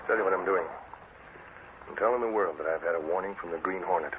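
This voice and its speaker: Crooked political henchman, played by a man: male, low register, brash and clipped, vintage radio serial, dull recording. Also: fast, natural voice. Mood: cocky, scheming. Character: cocky, corrupt political henchman, defiant under threat. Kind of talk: 1940s radio drama acting. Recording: noisy, muffled old radio recording.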